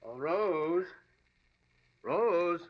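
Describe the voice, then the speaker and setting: Fussy, animated radio lawyer. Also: male voice, fairly high pitch, extremely slow. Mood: concerned, suspicious. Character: fussy, formal male family lawyer. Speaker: radio drama actor. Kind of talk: old-time radio drama acting. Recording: dull, lo-fi old radio recording.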